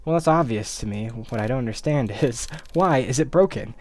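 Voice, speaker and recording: A man's voice: mid-range voice, lively intonation, moderate pace, darkish tone, lightly acted. A man voicing a brash, teasing detective's partner who scolds and cares, in a visual novel. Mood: exasperated, mocking.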